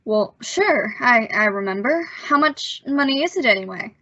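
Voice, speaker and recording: A bashful boy in his teens, acted by a woman in an old-time radio play. The voice is bright and fairly high, halting and boyishly polite, on a close, dry but slightly dull recording. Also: animated, dry recording, full character voice. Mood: nervous, hesitant. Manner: stammering, hesitant, boyish. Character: bashful, evasive returned teenage boy, gushing gee-whiz politeness.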